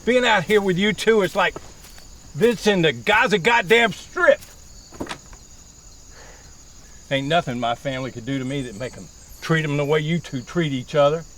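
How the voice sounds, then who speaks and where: A man's voice: a little high, moderate pace, fairly bright tone, slight background noise, natural voice. An actor playing a crude, folksy rural man with a drawl, outdoors. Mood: angry. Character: crude rural older man, pious yet lewd.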